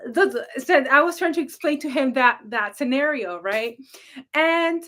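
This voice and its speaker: Loud, animated woman telling a story. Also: sits high, a little slow. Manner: animated, rambling, laughing, frequent throat clearing. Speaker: livestream host, spiritual reader. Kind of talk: casual livestream storytelling.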